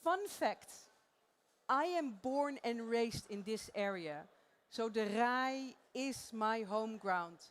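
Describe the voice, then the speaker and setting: A woman with a Dutch accent gives a live talk in a conference theater. She is playful and chatty and laughs often. Her voice sits a little higher than most women's. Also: expressive, slow. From Netherlands. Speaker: conference presenter.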